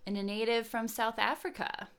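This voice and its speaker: A woman co-hosting a podcast in an earnest, conversational way, measured and pausing often. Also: a little high, little pitch movement, fairly bright tone. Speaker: podcast co-host.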